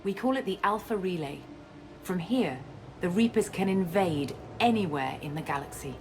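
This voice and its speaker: A woman playing a guarded, self-assured scientist and space operative in a video game, explaining things in a measured way. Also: fairly low pitch, lively intonation, natural voice. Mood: composed, explaining. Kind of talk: video game cutscene acting. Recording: music under the dialogue.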